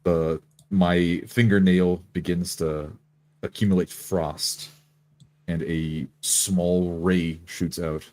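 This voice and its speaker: A man's voice: low-pitched, flat delivery, slow, a little mellow, no echo. A man playing a tabletop roleplay game, describing his character's actions.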